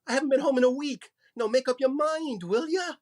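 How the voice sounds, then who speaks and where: An actor playing an impatient, sarcastic and worn-out department store clerk in an old-time radio comedy. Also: male voice, very high-pitched, fairly quick, darkish tone. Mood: exasperated.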